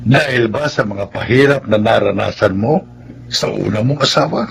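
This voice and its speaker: A man's voice: on the low side, moderate pace, vocal fry, a little hiss or hum. A man playing a kindly, meddling older father in a radio drama. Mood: concerned, advising. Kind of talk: Tagalog radio drama acting.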